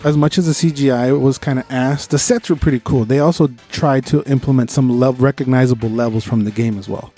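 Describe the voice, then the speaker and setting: A man's voice: mid-range voice, steady tone, fairly quick, hardly any pauses, mellow, soft background music. A man co-hosting a podcast, chatting casually about movies with slangy, enthusiastic fan talk. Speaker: podcast host. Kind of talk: casual movie review chat.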